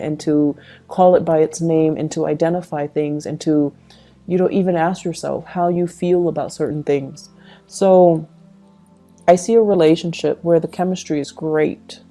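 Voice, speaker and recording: Woman, tarot reader: lowish, very dark and soft-edged tone, reflective advice straight to the listener, music underneath. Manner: reflective, advising, addresses listener directly. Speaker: tarot reader and channel host. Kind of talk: intuitive tarot reading addressed to the listener.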